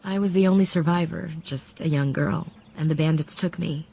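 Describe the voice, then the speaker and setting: A composed elf wise woman from a fantasy game. Her voice is low, dark and creaky, and she speaks with calm, reflective formality. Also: moderate pace, full character voice. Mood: sombre, reflective. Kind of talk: fantasy video game acting, measured storytelling.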